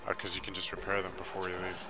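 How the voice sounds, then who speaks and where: A man with a low voice talks through a video game in a casual walkthrough style. His delivery is matter-of-fact and low-key, with clearly audible game music behind him. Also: completely flat delivery, very fast.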